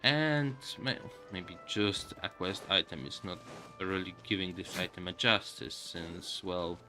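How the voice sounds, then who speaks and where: A man doing casual live game commentary as a streamer, musing aloud to viewers in a halting way, with game music behind him. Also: deep voice.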